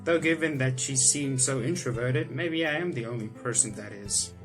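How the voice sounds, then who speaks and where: A man's voice: mid-range voice, normal speed, over music. Young guy playing a visual novel, reads the lines out then rambles about them, laughs a bit, ordinary voice. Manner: chatty, self-correcting, breaks into laughs and reactions. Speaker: gaming video host. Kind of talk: let's-play reading game text aloud with comments.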